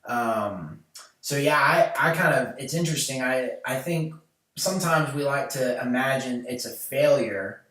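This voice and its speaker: A man's voice: medium pitch, measured pace, bright, forceful tone. A man, hosting a podcast in loose, rambling casual chat full of fillers and restarts. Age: late 20s. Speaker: podcast host. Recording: echoey room, mic far off.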